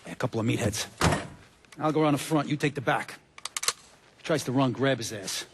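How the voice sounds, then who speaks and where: An actor playing a hot-tempered, foul-mouthed mafia lieutenant in a video game, brusque and commanding. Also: male voice, medium pitch, a little fast, noisy background, lightly acted. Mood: terse, menacing.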